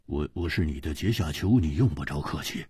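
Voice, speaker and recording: A man's voice: a bass voice, lively intonation, fairly quick, a little mellow, slightly rough, no room sound, voice pushed down, heavily acted. A man voicing a cocky, hot-tempered swordsman in an audiobook, drawling and teasing.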